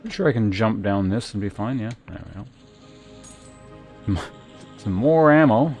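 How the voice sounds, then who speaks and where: A man's voice: lowish voice, expressive, normal speed, a little mellow, faint music behind. A man giving casual live game commentary as a streamer, thinking aloud and muttering to himself as he plays.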